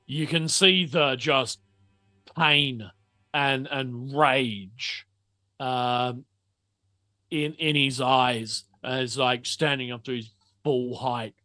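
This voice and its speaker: Male game master, unhurried and halting, bright tone, close dry sound with faint music. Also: mid-range voice, fairly even intonation, dry recording. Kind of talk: improvised narration of a tabletop roleplaying game.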